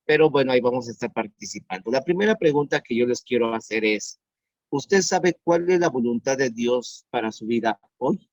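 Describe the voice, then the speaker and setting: A man in his fifties preaching an online sermon as pastor, earnest and repeating his questions slowly for emphasis. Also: mid-range voice, lively intonation, darkish tone, no room sound. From Mexico. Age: 50s. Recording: dull dry sound.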